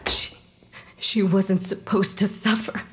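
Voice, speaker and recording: Actress as a volatile, slow-speaking ghost woman. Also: medium pitch, flat delivery, natural voice. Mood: bitter, grieving. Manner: volatile, defensive, anguished. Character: bitter, desperate ghost of a poisoned wife and mother. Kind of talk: audio fiction podcast acting.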